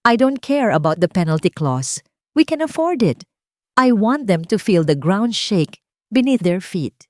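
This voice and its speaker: A woman narrator voicing a cold, vengeful wealthy businesswoman in an audio story, deliberate and commanding. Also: mid-range voice, big pitch swings, a little mellow, no room sound, clean studio sound. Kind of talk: dramatized audio story dialogue.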